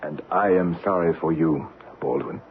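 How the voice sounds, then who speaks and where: A man's voice: rumbling low, normal speed. An actor playing a troubled middle-aged man of standing in an old radio drama, with a grave theatrical delivery. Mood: grave, bitter. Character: troubled middle-aged executive haunted by a noble past life. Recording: muffled, faintly noisy old radio recording.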